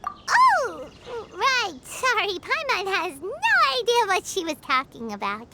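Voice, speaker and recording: A woman voicing a tiny, nosy, excitable childlike fairy companion with a squeaky voice in a video game. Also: slow, heavy background noise, full character voice. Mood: flustered. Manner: speaks of herself in third person, exclaiming. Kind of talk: video game character acting. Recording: music behind the voice. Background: clear background music.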